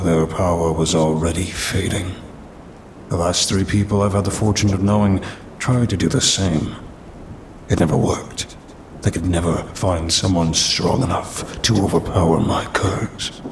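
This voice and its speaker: A man playing a smug, ancient demon trapped in a cursed necklace in an ASMR roleplay, with haughty, teasing, old-fashioned speech. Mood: taunting, menacing. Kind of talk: ASMR audio roleplay acting. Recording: rumbling rain and thunder noise.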